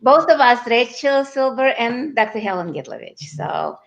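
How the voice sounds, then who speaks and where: A woman's voice: on the high side, wildly swooping pitch, moderate pace. A woman from Russia co-hosting a casual online interview over a video call, playful and laughing between her words. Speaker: co-host. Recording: dull lo-fi video call audio.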